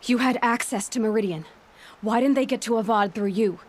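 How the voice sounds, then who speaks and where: A woman's voice: fairly high pitch, fairly quick, darkish tone, close to an everyday voice. An actress voicing a determined young woman hunter who asks direct, probing questions, in a video game.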